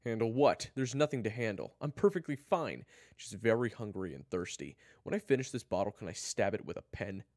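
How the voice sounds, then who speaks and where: Man voicing a loud, hot-headed schoolboy, gravelly edge. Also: sits low, big pitch swings, normal speed, hardly any pauses, dry recording, clean studio sound, pitched above the performer's own voice, heavily acted. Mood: irritated, defensive. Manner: forceful, confrontational, sarcastic.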